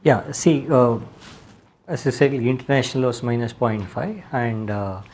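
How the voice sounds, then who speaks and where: A man with an Indian accent speaking as a company executive, explaining results in a halting way. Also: medium pitch, a little mellow.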